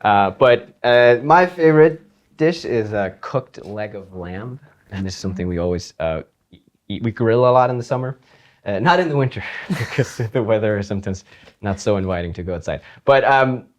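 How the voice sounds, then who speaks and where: A man from Iceland, a guitarist and composer, chatting in an interview in a relaxed, rambling way with frequent laughs. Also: fairly low pitch, normal speed. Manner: relaxed, rambling, laughs often, many fillers. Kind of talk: casual interview chat.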